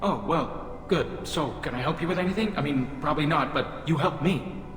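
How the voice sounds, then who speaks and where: A man voicing a haunted young male alien survivor in a video game, halting and timid. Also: a little high, a bit bright, faint music behind, natural voice. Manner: halting, timid, self-deprecating. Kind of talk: video game dialogue acting. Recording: echoey reverberant hall.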